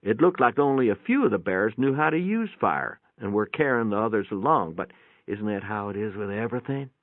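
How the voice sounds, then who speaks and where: A man giving a dramatic first-person reading of a short story in a measured, reflective way. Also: lowish voice, expressive, no room sound. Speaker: story reader. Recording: thin band-limited phone-like sound, dry.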